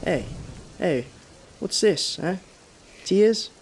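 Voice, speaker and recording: A man acting a haunted man in his thirties who recounts his road trip in a radio drama, urgent and halting. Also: sits high, expressive, noisy background, exaggerated voice. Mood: breezy, reassuring.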